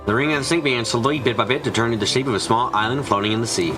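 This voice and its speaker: A man's voice: mid-range voice, racing. A man narrating a scripted story in a dramatic style for a visual novel fan dub. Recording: music behind the voice. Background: clear background music.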